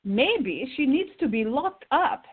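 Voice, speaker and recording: Radio play role: loyal but bossy older woman voiced by an actress, husky, very animated, forever exasperated. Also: high voice, slow, narrow, tinny audio, exaggerated voice. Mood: urging, indignant. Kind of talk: radio theater acting.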